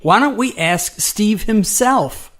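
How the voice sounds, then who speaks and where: Man, high pitch, radio co-host, casual conversational banter. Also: lively intonation, takes it slowly, fairly bright tone.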